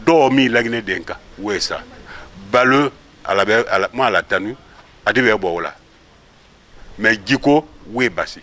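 An older man from Guinea speaks close to the camera in a small room. His voice sits low but has a bright edge. He sets out his points earnestly, one after another. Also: lively intonation, moderate pace, pauses often. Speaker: interviewee. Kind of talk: vox pop answer. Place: indoors, small room with curtains.

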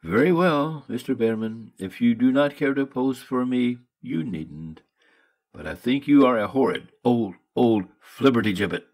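A man voicing a caring young woman artist who worries for her sick friend, in a plain, gentle voice. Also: medium pitch, lively intonation, unhurried, pitched above the performer's own voice. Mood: indignant.

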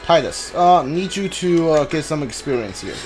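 A gamer giving casual playthrough commentary, thinking aloud in halting fragments over a game. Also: medium pitch, very animated, darkish tone, low-quality recording. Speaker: gamer, streamer. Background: game music.

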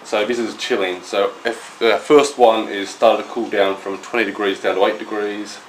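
A man's voice: lowish voice, little pitch movement, moderate pace, slight background noise, rough recording. A man working as head brewer, explaining the process in a plain technical way. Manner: matter-of-fact, technical. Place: small indoor brewery.

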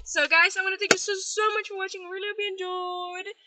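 A woman's voice: very high voice, level tone, fast, very noisy. A young woman vlogging while she drives, chatting casually to a dashboard camera inside her car. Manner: chatty, singing along to songs. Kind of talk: casual chat while driving. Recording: music over the voice inside a car. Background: music playing.

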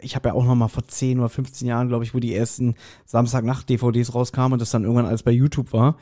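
A man's voice: medium pitch, flat delivery, quick pace, mellow. A man in his forties co-hosting a podcast, telling things in a chatty, jokey way and a casual style. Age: 40s. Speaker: podcast co-host. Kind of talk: casual podcast chat recapping an audio drama.

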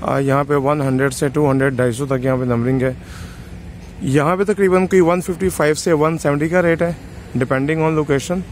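A man with a Pakistani Urdu accent giving an informal real estate site tour from inside a moving car, in a matter-of-fact way with pauses and fillers. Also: on the high side, steady tone. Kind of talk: casual property tour commentary. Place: in a car driving through open roads. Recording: road noise from a moving car. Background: car and road noise.

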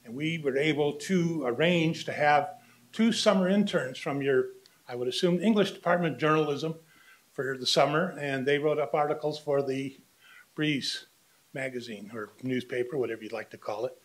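Older guy at a podium mic making a short candidate pitch, steady, bit of humor. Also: moderate pace, rough recording. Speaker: candidate for community association board. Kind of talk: public comment speech. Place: meeting room, standing at a podium. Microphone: podium microphone.

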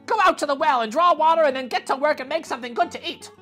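A man voicing a gnarled, cruel old witch in a high, cackling crone voice for a read-aloud storybook. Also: quick pace, pushed and bright, soft background music. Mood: harsh. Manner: high cackling crone voice, wheedling then barking.

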